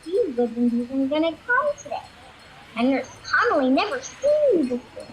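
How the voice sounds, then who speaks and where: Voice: high voice, big pitch swings, moderate pace, a little hiss or hum, rough recording. A young performer playing a confident, earnest child with an insistent manner and childlike grammar. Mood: sure, admiring.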